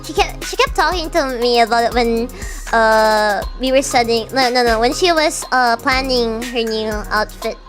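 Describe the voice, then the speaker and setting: A woman's voice: on the high side, moderate pace, hardly any pauses, a bit bright. A young woman streamer chatting casually with her viewers, answering chat with a chatty, self-mocking manner. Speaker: VTuber streamer. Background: faint background music.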